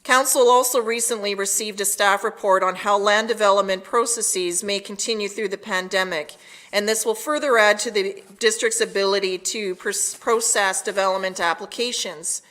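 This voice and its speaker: A woman delivering a prepared public address as town mayor, measured and formal. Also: medium pitch, fairly even intonation, a bit bright.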